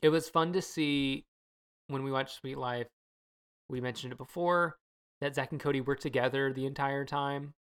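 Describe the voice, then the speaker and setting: A woman's voice: very deep voice, moderate pace, smooth. A woman co-hosting a podcast in casual, opinionated chat with plenty of fillers. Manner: relaxed, opinionated, many fillers. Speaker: podcast co-host.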